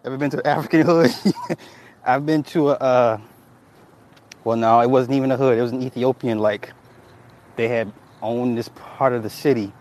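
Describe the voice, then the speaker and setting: A man's voice: mid-range voice, fairly even intonation, normal speed, long pauses, a little mellow. A man hosting a casual livestream who reads chat comments and tells stories in a loose, profane way, often laughing. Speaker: livestream host reading chat.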